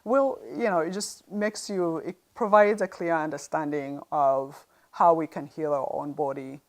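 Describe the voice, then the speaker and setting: A middle-aged woman from Uganda with an East African accent, recounting personal experience conversationally as an interview guest, with halting pauses. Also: deep voice, fairly even intonation.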